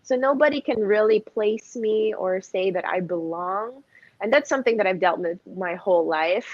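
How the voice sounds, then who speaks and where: A young woman from the Philippines talks openly about her life in a relaxed interview, often laughing. The sound is dry and close, a little dull and muffled. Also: medium pitch, normal speed, dry recording. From Philippines (Cebu). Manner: candid, laughs often, light fillers. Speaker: pageant candidate, guest. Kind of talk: casual interview answers.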